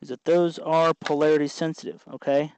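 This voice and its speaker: A man's voice: on the high side, level tone, a little fast, darkish tone, slight background noise, low-quality recording. A man hosting a do-it-yourself tutorial, explaining each step patiently in a casual, folksy way as he works. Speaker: host of a DIY electronics tutorial. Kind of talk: casual hands-on tutorial, explaining while working.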